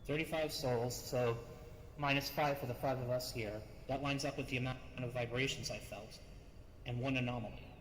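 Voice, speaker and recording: A man improvising in-character role-play, haltingly repeating his phrases as he goes. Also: medium pitch, fairly even intonation, fairly bright tone. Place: voice chat in virtual reality game. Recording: echoey with faint background noise.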